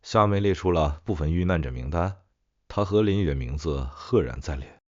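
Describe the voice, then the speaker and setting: A man's voice: sits low, expressive, moderate pace, dry recording, highs cut off. A man narrating a scripted ghost story as an audiobook.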